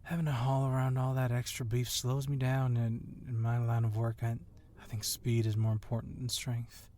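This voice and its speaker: A man's voice: medium pitch, completely flat delivery, normal speed, mellow, natural voice. A man playing an agile, confident police officer with a casual, flirtatiously admiring manner in an ASMR roleplay. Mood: teasing. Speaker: voice actor in audio roleplay.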